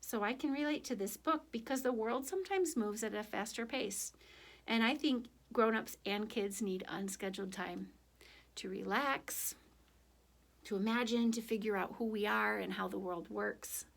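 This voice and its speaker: A woman reading a picture book aloud and chatting to children, speaking in an expressive, gentle and direct way. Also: medium pitch, moderate pace, creaky. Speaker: children's story reader for a church. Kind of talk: picture book read aloud, then informal reflection to children.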